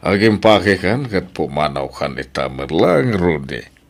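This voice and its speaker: A man's voice: low-pitched, very animated, a little slow, exaggerated voice. An actor playing a stern, angry rich father in a radio drama, scolding and domineering, with an Ilocano accent. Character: stern, angry rich father forbidding his daughter's romance.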